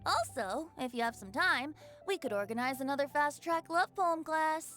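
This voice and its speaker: A woman's voice: high-pitched, lively intonation, normal speed, fairly bright tone, soft background music. A woman voicing a carefree, teasing young boy bard in a video game, playful, breezy and theatrical.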